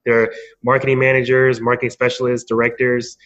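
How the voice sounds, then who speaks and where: A man giving an informal, halting answer as a guest in a casual interview. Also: medium pitch, completely flat delivery, fairly bright tone, lo-fi sound. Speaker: podcast guest, marketing speaker.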